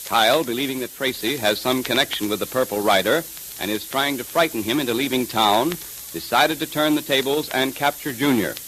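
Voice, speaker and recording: A man's voice: mid-range voice, a little fast, fairly bright tone, poor audio. An American man reading scripted ads and story narration as an emphatic, dramatic 1930s radio announcer. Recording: hissy 1930s radio transcription.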